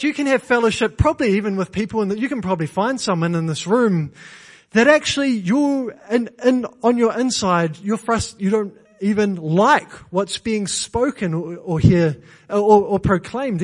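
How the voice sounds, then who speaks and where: A man with a New Zealand accent preaching from a church pulpit. His voice sits fairly high, and he drives his points home with earnest repetition, lightened by self-deprecating jokes. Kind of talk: sermon.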